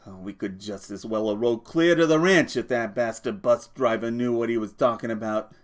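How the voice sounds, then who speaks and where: A man's voice: medium pitch, animated, moderate pace, lightly acted. A man voicing a small, irritable working man who scolds and swears, from a storybook. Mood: exasperated. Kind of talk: audiobook character voice.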